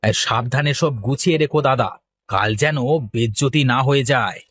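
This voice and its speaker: A man playing a scheming, jealous village potter with a sly, needling way of talking, in an animated moral story. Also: medium pitch, normal speed, close to an everyday voice. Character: scheming, jealous male village potter, falsely sympathetic rival.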